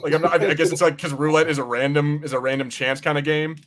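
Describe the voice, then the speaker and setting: A man co-hosting a casual pop-culture podcast, talking in a halting, sweary way. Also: on the high side, fairly even intonation, a bit bright. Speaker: podcast co-host.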